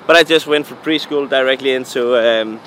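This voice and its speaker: A young man from Denmark, a DJ and music producer, answering interview questions in halting, filler-heavy English with a Danish accent. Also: medium pitch, a bit bright, a little hiss or hum. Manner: halting non-native English, frequent fillers.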